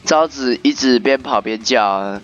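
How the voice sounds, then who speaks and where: Male gaming commentator, mid-range pitch, casual, reactive narration, dull lo-fi recording, faint music behind. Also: measured pace. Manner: reactive, exclaiming. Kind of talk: casual playthrough commentary, translating and narrating aloud.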